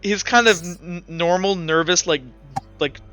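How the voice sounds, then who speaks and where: A man narrating and refereeing a tabletop role-playing game among friends, in an informal, animated storytelling way. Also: high-pitched, moderate pace, soft background music. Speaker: game master.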